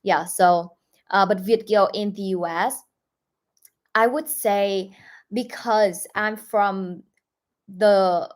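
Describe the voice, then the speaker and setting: A woman's voice: medium pitch, fairly even intonation, slow, pauses often. A young woman from northern Vietnam with a Northern Vietnamese accent, speaking English as a second language and telling stories casually on a livestream, full of "like" fillers and restarts. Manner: chatty, many "like" fillers and restarts. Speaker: YouTuber.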